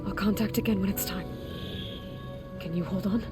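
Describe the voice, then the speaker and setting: An actress playing a determined, protective young warrior woman in a video game, speaking earnestly and often in a hush. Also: medium pitch, fairly even intonation, moderate pace, close to an everyday voice. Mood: tender, reassuring. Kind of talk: video game cutscene acting. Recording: dramatic music behind the voice.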